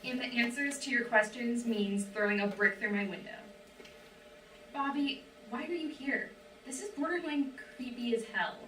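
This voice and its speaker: Woman acting high-pitched, exasperated young ex-girlfriend. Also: normal speed, a bit bright, natural voice. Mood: annoyed. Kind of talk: script table read acting. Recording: roomy, distant mic, clearly noisy.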